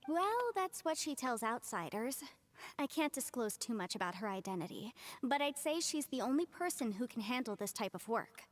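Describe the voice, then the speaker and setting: A woman playing a polite, composed young scholar in an anime game, speaking in a measured, formal, earnest way. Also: fairly high pitch, sing-song, a little hiss or hum. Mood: calm, informative. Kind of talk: anime video game voice acting.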